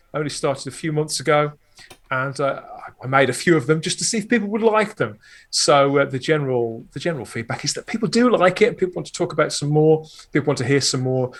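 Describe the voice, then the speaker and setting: A man with a mid-pitched voice talks as a podcast producer in an interview. He is chatty and enthusiastic, laying things out at length in a conversational way. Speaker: podcast host and producer, guest.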